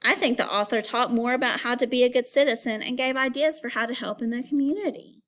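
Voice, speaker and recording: A woman teacher reading aloud to young pupils and explaining as she goes, in an instructive, clearly phrased way. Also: a little high, fast talker, a bit bright. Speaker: elementary school teacher. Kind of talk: read-aloud reading lesson for children.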